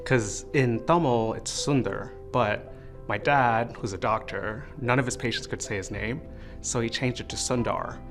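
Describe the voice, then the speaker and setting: Thoughtful man over music. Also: lowish voice. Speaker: interviewee. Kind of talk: reflective interview answers.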